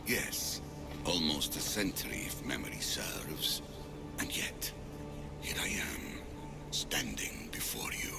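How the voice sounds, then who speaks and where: An actor playing an ancient, grave and secretive father in a video game, gravelly and solemn. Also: a bass voice, sing-song, exaggerated voice. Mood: calm, knowing. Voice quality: gravelly, breathy. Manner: slow, solemn, cryptic. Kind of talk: video game cutscene acting. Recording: loud music under the voice.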